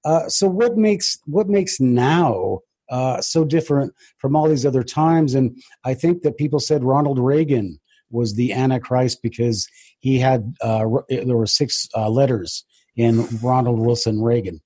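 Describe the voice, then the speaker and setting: A man hosting an interview, posing questions in a hesitant way with many pauses. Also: medium pitch, a little mellow, slightly rough. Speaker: interviewer, host.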